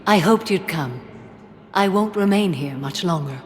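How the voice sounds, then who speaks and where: Low-voiced, serene warrior-monk. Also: female voice, expressive, darkish tone. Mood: solemn, tender. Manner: composed, formal, measured wording. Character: serene, solemn alien warrior-monk woman. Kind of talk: dramatic video game acting.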